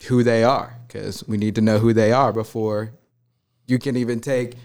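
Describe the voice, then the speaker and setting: A man hosting a casual podcast chat, upbeat and quick to laugh, often repeating his phrases. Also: on the low side, flat delivery, a little mellow, clear, resonant tone. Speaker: podcast host.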